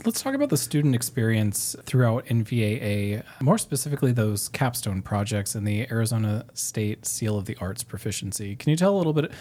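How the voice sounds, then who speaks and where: A man's voice: mid-range voice, very animated, brisk, hardly any pauses, dark tone. An American man hosting a podcast, asking prepared interview questions and giving information to listeners. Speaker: podcast host.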